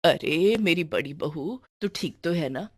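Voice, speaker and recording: An elderly, gullible mother-in-law played by a woman storyteller speaks in a lowered voice. She fusses affectionately and soothes everyone around her, in the style of a cartoon family tale. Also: lively intonation, a little slow, breathy edge, dry recording, clean studio sound, full character voice. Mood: concerned, soothing.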